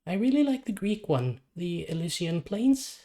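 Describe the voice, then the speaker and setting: A man's voice: high voice, big pitch swings, moderate pace, mellow, pitched above the performer's own voice. A man voicing a frail, dreamy young boy who is dying, speaking in a hesitant, innocent way. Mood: dazed, wistful.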